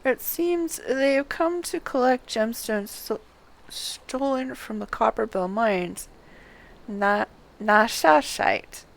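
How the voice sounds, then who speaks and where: A woman's voice: on the high side, takes it slowly, vocal fry. A woman voicing an anxious townsperson in a fantasy game, confiding a secret with urgency.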